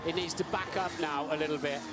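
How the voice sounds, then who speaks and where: A man's voice: on the high side, steady tone, quick pace. A British man co-commentating on a motor race, explaining tactics in a conversational, analytical way. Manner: conversational, explanatory, tag questions. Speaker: expert co-commentator. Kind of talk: analytical live sports commentary. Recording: loud engine and trackside noise behind. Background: race cars and outdoor circuit ambience.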